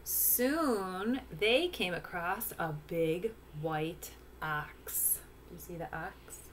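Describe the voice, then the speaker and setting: Woman doing a kids storytime, slowish, kinda low voice, bit of background hiss. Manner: explains words and rhymes to listeners. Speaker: picture-book reader. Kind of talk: read-aloud storytelling for young children. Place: small indoor room at home.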